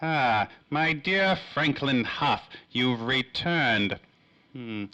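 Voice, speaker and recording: An actor playing a pompous, theatrical gentleman villain in an old-time radio play, florid and drawn-out. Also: mid-range voice, lively intonation, highs cut off, heavily acted. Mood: smug.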